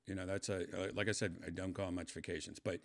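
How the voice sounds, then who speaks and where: A man in his fifties with a lowish voice talks loosely to his livestream chat. He meanders, trails off mid-thought and restarts his sentences, filling the gaps with ums and uhs. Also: flat delivery, fast talker, gravelly. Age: 50s. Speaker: livestream host. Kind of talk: rambling casual livestream chat.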